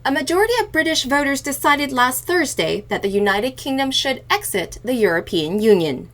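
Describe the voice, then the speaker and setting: A woman hosting a news programme, reading a scripted report in a measured, enunciated way. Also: high-pitched, animated, fairly bright tone. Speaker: news podcast host reading headlines.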